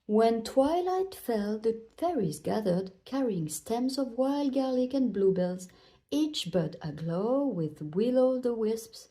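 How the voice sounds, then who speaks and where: Mellow, unhurried woman reading a storybook. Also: a little high, expressive. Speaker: children's book reader. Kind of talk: expressive storybook narration read aloud.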